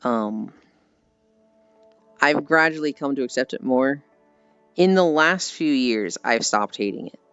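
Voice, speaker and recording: A man with an American accent talks casually to his livestream audience in a clean, smooth voice. He rambles and pokes fun at himself with the odd swear word. The audio is a little muffled, and music plays faintly behind him. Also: medium pitch, lively intonation, a little slow, pauses often, fairly bright tone, dry recording. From United States. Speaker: livestreamer chatting with viewers. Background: background music.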